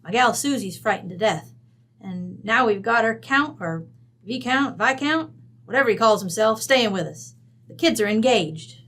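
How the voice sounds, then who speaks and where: A woman's voice: fairly high pitch, expressive, normal speed, fairly bright tone. A woman playing a brash, folksy, middle-aged rancher widow with an American West accent, blunt, bossy and slangy, in an old-time radio drama. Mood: matter-of-fact.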